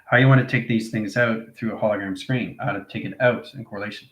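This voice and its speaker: Echoey low-voiced man, incantatory instructor. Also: fairly even intonation, quick pace, a bit bright. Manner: incantatory strings of technical-sounding phrases. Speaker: instructor, channel presenter. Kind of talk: improvised esoteric instructional monologue. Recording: echoey, reverberant room sound.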